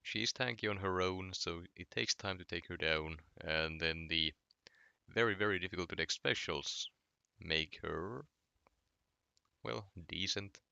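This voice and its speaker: Low gravelly Finnish-accented man. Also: a little slow, no room sound, lo-fi sound. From Finland. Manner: relaxed, reading chat aloud and answering. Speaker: streamer. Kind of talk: casual gaming stream chat.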